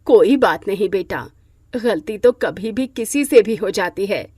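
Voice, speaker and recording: A woman's voice: medium pitch, animated, measured pace, darkish tone, breathy edge, no room sound, pitched below the performer's own voice. A woman voicing a kindly middle-aged mother-in-law in a moral story, with a motherly way of talking. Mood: affectionate. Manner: affectionate, motherly. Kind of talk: Hindi moral-story character acting.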